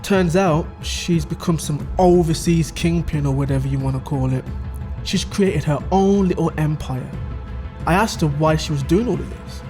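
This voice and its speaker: Higher-voiced scheming father in an audio drama played by a man. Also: normal speed, dark tone, soft background music, close to an everyday voice. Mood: explanatory, uneasy. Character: scheming middle-aged father recounting his wife's crimes. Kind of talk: dramatic dialogue.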